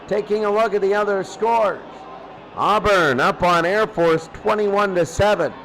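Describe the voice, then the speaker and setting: A man's voice: high voice, measured pace, a bit bright, a little hiss or hum, low-quality recording. A man calling live sports play-by-play as an announcer, speaking emphatically and repeating phrases for effect.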